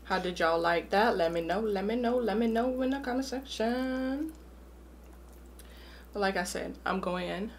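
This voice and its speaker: A woman's voice: mid-range voice, moderate pace, slight background noise. A young woman hosting an eating show, chatting casually between bites in a room at home. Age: young adult. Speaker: mukbang host. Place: small room indoors at home.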